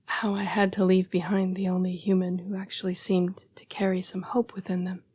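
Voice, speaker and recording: Remorseful female vampire played by a woman, whispery breathy voice, even pitch, gentle, protective, halting, intimate roleplay audio monologue. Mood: earnest, apologetic. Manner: hushed, halting, earnest and apologetic.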